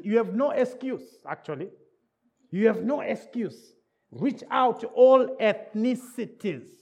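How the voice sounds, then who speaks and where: Non-native english preacher guy, high voice swinging all over, keeps asking the crowd if they're with him. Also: slightly rough. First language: not English. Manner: lively, checks in with listeners with repeated questions; repeats key words for emphasis. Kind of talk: sermon. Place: church service in England.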